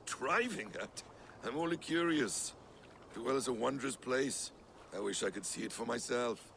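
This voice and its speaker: A scheming trickster god asks probing, mock-innocent questions. His voice is gravelly and swoops around in pitch. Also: animated, moderate pace, fairly bright tone, a little hiss or hum. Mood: sly.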